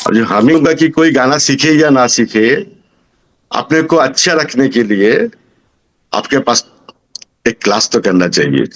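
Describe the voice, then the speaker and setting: A man in his sixties from Kolkata with a Bengali accent, giving an informal testimonial as a student, halting as he searches for words. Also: mid-range voice, lively intonation. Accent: Bengali-accented Hindi. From Kolkata, India. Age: 60s.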